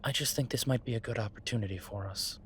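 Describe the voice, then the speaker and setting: A man playing an earnest, easygoing chef in a roleplay audio drama, talking haltingly and reassuringly to a partner. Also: fairly low pitch, fairly bright tone, a little hiss or hum, natural voice. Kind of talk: roleplay audio drama, conversational monologue to listener. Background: ambient sound effects.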